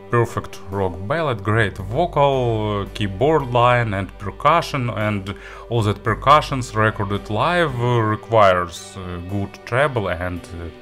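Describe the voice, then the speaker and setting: A man giving a spoken audio gear review, phrasing things haltingly but with enthusiastic emphasis. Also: lowish voice, a bit bright.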